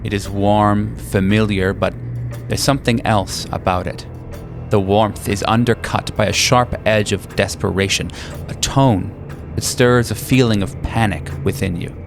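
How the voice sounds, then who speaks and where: A man with a fairly low voice narrates tabletop horror in the second person. His delivery is deliberate and ominous, like scripted storytelling, with music clearly playing underneath. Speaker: game master.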